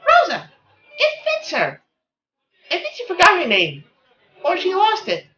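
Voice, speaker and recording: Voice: very high voice, wildly swooping pitch, a little slow, bright, forceful tone, roomy sound, pitched above the performer's own voice, exaggerated voice. A performer voicing a plucky, polite seven-year-old noble girl in a childlike, outspoken way. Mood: pleased. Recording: dull lo-fi audio with faint music.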